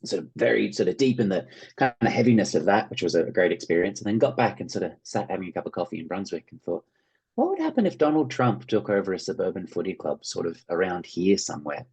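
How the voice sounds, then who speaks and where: A man's voice: sits low, big pitch swings, quick pace, darkish tone, husky. An Australian man speaking casually as an interview guest, rambling and hedging as he goes. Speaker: interview guest, playwright and actor.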